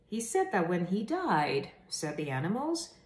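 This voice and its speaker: A woman hosting a children's read-aloud, telling stories to the viewer in an expressive, deliberate and emphatic way. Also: fairly low pitch. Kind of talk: animated read-aloud storytelling to camera. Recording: echoey room.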